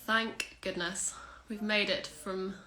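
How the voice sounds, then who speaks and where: A woman's voice: medium pitch, fairly even intonation, takes it slowly, sharp tone. A woman hosting an Instagram live from home, chatting in an upbeat, casual way on a phone or laptop. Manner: chatty and upbeat, full of everyday British phrases. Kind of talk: casual video chat. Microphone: phone or laptop for a livestream.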